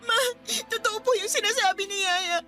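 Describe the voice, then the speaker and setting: An actress playing a frightened teenage daughter in a Filipino radio drama, pleading and distressed. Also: female voice, very high-pitched, normal speed, over music. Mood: pleading, upset.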